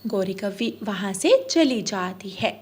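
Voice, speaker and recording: A woman's voice: mid-range voice, measured pace, husky. A woman reading scripted narration for a children's moral story.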